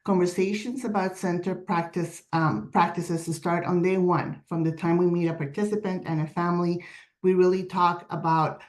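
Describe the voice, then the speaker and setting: A woman with a raspy voice presenting her organization's day services on a video call, earnest and steady, reading prepared points with some fillers. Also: lowish voice, normal speed. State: raspy voice today. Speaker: director of day services presenting at a community forum.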